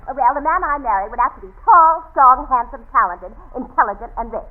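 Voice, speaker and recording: A woman's voice: high-pitched, expressive, a little fast. A woman playing a man-hungry, giddy spinster in a gushing, hesitant, coquettish 1940s radio comedy style. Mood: gushing, romantic. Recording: muffled 1940s radio broadcast.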